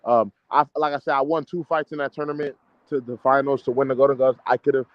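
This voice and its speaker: A man's voice: mid-range voice, fairly even intonation, fast talker, dry recording, muffled recording. A man in his mid-twenties with an American accent, an amateur boxer chatting casually in an interview in slangy, confident, repetitive phrases. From United States, DC-Maryland-Virginia area. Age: mid-20s. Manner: slangy, confident, emphatic, repeats himself.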